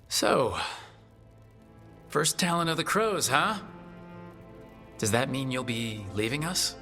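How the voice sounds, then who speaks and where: A steady fantasy game hero with a bright, forward voice at middling pitch. He is conversational, wry and supportive, with faint music behind. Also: takes it slowly, heavily acted. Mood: wry, teasing. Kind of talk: video game voice acting.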